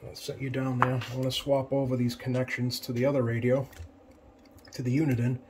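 A man giving a casual hands-on tutorial as a repair technician, plain-spoken and often pausing mid-sentence. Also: mid-range voice, little pitch movement. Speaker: CB radio repair technician presenting. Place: indoor electronics workbench. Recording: clear background noise, home workbench room.

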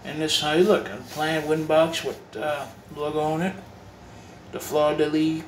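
Guy rambling thru a home review on his phone, kinda high voice, echoey room w a lil background hum. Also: level tone, normal speed. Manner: rambling, repeats and restarts phrases. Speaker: cigar vlogger. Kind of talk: casual home review vlog. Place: home room with bare walls. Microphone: phone held while filming. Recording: echoey room, faint room noise.

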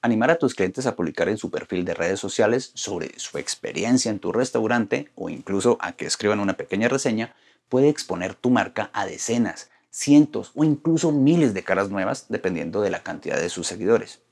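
A middle-aged man with a low, gravelly, slightly husky voice walks through a marketing lesson straight to camera. He speaks with wide swings in pitch and gestures freely, punching each new point. The sound is a clean studio take with a hidden mic. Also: fairly quick. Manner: lively, gesturing presenter with rising stresses on list points. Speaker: marketing presenter. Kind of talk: explainer video to camera. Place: studio in front of a green backdrop.

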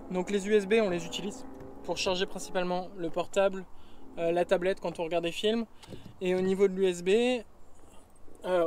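A man's voice: high-pitched, a little hiss or hum. A man in his thirties giving a casual vlog walkthrough, chatty with many fillers, filmed on an action camera. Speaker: travel vlogger. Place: roof tent on a car, then outdoors in bush.

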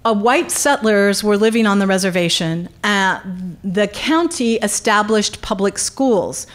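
A middle-aged woman giving a public history lecture at a podium microphone in a conference room, animated, with many fillers and restarts. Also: medium pitch, unhurried. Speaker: guest lecturer. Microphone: podium microphone on stand.

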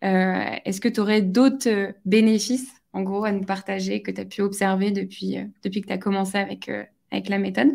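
A young woman hosts an interview over a video call. Her voice has a gravelly fry and stays flat in pitch. She asks her questions casually, hesitating and restarting phrases often. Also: normal speed, few pauses. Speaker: interview host.

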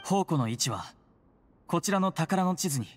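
An actor playing a polite, earnest prison guard who secretly helps heroes, speaking formally and solemnly in a game. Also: male voice, on the high side, expressive, moderate pace, slight background noise, lightly acted. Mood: grave, urgent. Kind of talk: Japanese game voice acting. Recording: long reverberant hall.

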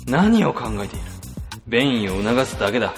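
A man's voice: mid-range voice, sing-song, normal speed, in a large hall, soft background music. A man voicing a cunning male office worker in a comedy radio drama, narrating his schemes in intense inner monologue. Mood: scheming.

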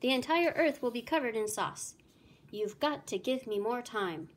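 Woman playing an excitable old male scientist. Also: on the high side, moderate pace. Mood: alarmed. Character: elderly male scientist uncle, excitable, frantic, brilliant.

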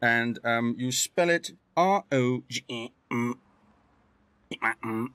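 A man playing a doddering, confused customer on a prank phone call, halting and hesitant as he talks. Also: medium pitch, fairly bright tone, clean tone, no room sound. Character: doddering, confused, slow-talking male credit card customer.

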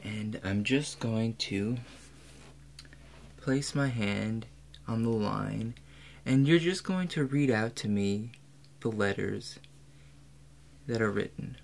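A man in his twenties speaking softly and calmly as a doctor in an ASMR role play, guiding the listener step by step. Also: on the low side. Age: 20s. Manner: calm, measured, instructing the listener step by step. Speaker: role-player acting as an examining doctor. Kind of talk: soft-spoken ASMR medical role play. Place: small room indoors. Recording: clear steady background hum.

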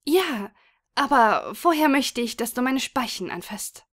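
Woman acting a high-voiced, coaxing bicycle girlfriend. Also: animated, unhurried, fairly bright tone. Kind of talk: audio drama acting.

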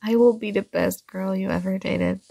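A woman's voice: medium pitch, fairly even intonation, moderate pace, gravelly, warm tone, no room sound, clean studio sound. A woman playing a shy, nerdy young classmate in a romantic ASMR roleplay, flustered and smitten, speaking in a hesitant, awkward way. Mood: tender, sincere.

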